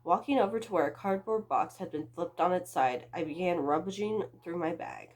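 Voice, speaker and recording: A woman's voice: fairly low pitch, moderate pace, darkish tone. A young woman reading her own story aloud as a book vlogger, with a dramatic, self-mocking delivery and amused asides.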